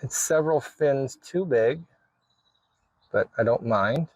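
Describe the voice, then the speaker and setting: A man with a mid-pitched voice talks casually through a hands-on job for a vlog. He sounds informal, as if thinking aloud while he works. The sound is dry, with hardly any room echo. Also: takes it slowly. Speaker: video creator showing a DIY radio install.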